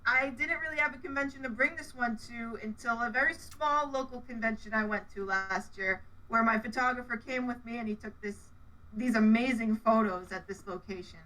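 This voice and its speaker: A woman's voice: fairly high pitch, fairly quick, bright, forceful tone, distant mic, rough recording. A woman raised speaking Polish and Russian, a cosplayer guest talking earnestly in a casual interview, often restarting her sentences.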